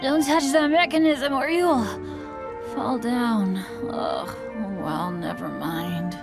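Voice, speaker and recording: A woman voicing a good-natured boy adventurer in a video game, mumbling haltingly and breathily in his sleep. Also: fairly high pitch, creaky, over loud music. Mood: drowsy, worried. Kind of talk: video game cutscene acting.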